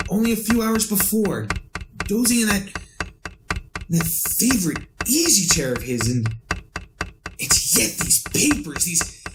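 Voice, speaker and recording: An actor playing a tormented man who narrates his descent into madness in a halting, stammering radio horror style. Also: medium pitch, heavy background noise. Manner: halting, stammering, overwrought, repeating himself. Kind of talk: old-time radio horror drama acting.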